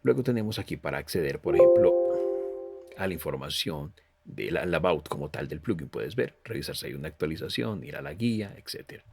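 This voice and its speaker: A man presenting an informal software walkthrough, explaining step by step and speaking directly to the viewer. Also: very deep voice, lively intonation, a little fast, creaky, over music. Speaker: tutorial presenter reviewing audio software.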